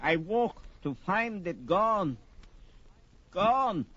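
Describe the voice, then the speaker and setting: An actor playing an old, boastful, easily indignant sorcerer in a theatrical, emphatic manner on an audio drama record. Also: male voice, high-pitched, big pitch swings, drawn-out words, a bit bright, exaggerated voice. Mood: indignant, aggrieved. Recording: dull vintage story record.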